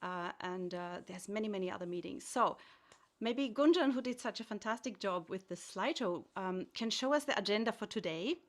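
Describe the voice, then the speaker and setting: A woman from Austria, hosting an online meditation event over a video call in a gentle, inviting way, often pausing to rephrase. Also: medium pitch, lively intonation. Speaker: host.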